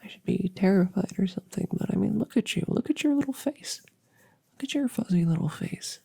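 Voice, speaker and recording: Woman playing a breathy, creaky, soft-spoken witchy vet. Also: mid-range voice, lively intonation. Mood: fond, teasing. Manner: gentle, chatty, halting, teasing. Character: friendly, goofy, reassuring human witch werewolf vet. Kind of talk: soft ASMR audio roleplay.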